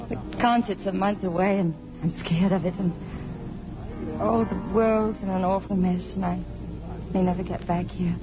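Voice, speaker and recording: An actress playing a frank, romantic American concert pianist in a 1950s radio drama. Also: female voice, medium pitch, fairly even intonation, moderate pace, natural voice. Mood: wry, wistful. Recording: vintage radio broadcast, muffled, music behind.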